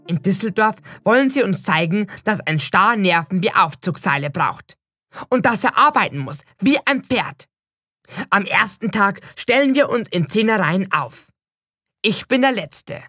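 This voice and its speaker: A woman's voice: mid-range voice, expressive, unhurried, pushed and bright, slightly rough, dry recording, studio quality. A woman reading a scripted first-person narration as a storyteller.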